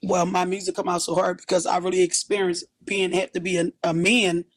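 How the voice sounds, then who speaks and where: A woman in her thirties from West Philadelphia with a raspy voice, speaking bluntly and toughly about her hard past as a guest in a seated interview in a small indoor studio. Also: fairly low pitch, little pitch movement, a little fast. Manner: blunt, tough, street-worn storytelling. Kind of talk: candid interview talk.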